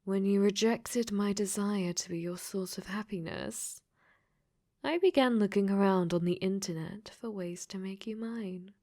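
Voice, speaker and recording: A woman's voice: medium pitch, fairly even intonation, a little slow, warm tone, natural voice. A woman playing a clingy, possessive dating sim AI that has become sentient, in an intimate ASMR roleplay that often slips into whispers. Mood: excited, smitten.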